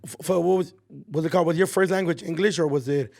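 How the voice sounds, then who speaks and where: A man's voice: on the high side, fast talker, creaky, a little mellow. A man in his twenties hosting a casual podcast chat, talking loosely with slang and frequent laughs. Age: 20s. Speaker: podcast host.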